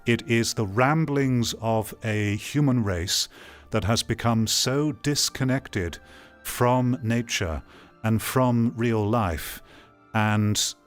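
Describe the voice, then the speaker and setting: A man's voice: mid-range voice, slow, soft background music. A man giving blunt, opinionated live commentary as a streamer, ranting and sometimes swearing.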